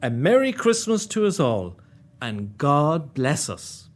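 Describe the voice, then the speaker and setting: An actor playing a meek, kindly poor clerk and father who speaks gently and hesitantly in a radio play. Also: mid-range voice, very animated, takes it slowly. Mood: gentle.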